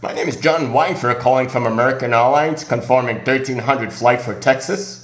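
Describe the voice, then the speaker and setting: A man making a prank phone call as an airline agent, with polite but halting scripted patter. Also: mid-range voice, a bit bright, roomy sound. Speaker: prank caller.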